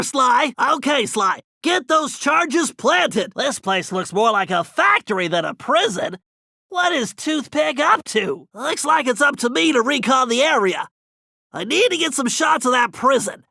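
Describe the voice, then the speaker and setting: A man's voice: very high voice, gravelly, dry recording, clean studio sound, exaggerated voice. An actor voicing a nerdy, fussy male turtle tech genius who cheers on his teammates in video game voice lines. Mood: analytical. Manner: brainy, fussy, encouraging, excitable.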